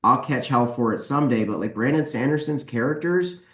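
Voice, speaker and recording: A man's voice: medium pitch, brisk, a bit bright. A man chatting casually and candidly as a podcast guest, giving emphatic opinions. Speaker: podcast guest, book publisher and author. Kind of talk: casual opinionated panel chat. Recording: roomy, echoey sound.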